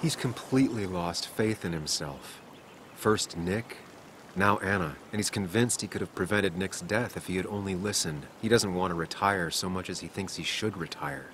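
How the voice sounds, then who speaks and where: Deep-voiced, weary man. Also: moderate pace, fairly bright tone, slight background noise. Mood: somber, resigned. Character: weary, remorseful male journalist grieving a loss. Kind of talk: video game cinematic dialogue.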